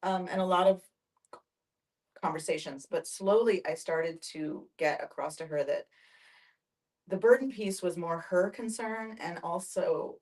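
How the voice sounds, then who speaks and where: A middle-aged woman with a fairly low voice shares personal experience in a group discussion. She is reflective and halting, thinking aloud. The microphone picks her up from some distance. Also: darkish tone.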